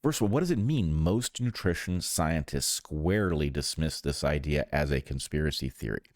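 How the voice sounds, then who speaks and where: A man talks through an argument as a podcast co-host. He is deliberate and emphatic, and the sound is close and dry, with very little room. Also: extremely low pitch, expressive, no echo. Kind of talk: analytical podcast discussion.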